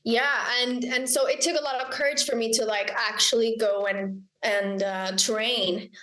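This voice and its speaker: A woman's voice: fairly high pitch, steady tone, measured pace, fairly bright tone, reverberant. A young Icelandic woman, a singer and songwriter, chatting as a guest in a casual interview, bubbly and giggly, often quoting herself.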